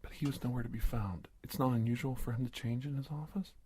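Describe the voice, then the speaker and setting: A man playing a cautious, polite government investigator in a soft-spoken ASMR roleplay, speaking haltingly and often dropping to a whisper. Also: medium pitch, fairly even intonation, a little hiss or hum, lightly acted. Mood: quietly curious. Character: cautious, polite government investigator doctor confronting a disguised creature. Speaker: ASMR performer.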